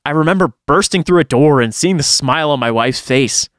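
A man's voice: on the high side, expressive, fairly quick, a bit bright, no room sound, studio quality. A man reading a first-person horror story aloud in a dramatic storytelling style, as a podcast host would.

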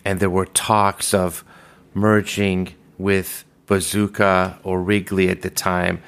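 A man in his fifties, originally from Iran, talks through his memories in a steady, thoughtful interview manner. His voice sits fairly low and unhurried, with occasional ums as he recalls details. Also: clear, resonant tone. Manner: measured, reflective storytelling with fillers. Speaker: podcast host being interviewed. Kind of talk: conversational interview.

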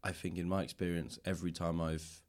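Man, low voice, podcast interviewer, casual, reflective, discursive. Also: level tone, a little fast.

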